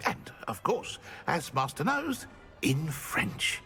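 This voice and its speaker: A man voicing an anxious, servile house-elf in a video game, halting and deferential as he speaks to his master. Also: a little high, big pitch swings, pushed and bright, faint music behind, full character voice. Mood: cautious, deferential. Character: servile, anxious old house-elf servant, speaks of himself in third person. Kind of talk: video game character acting.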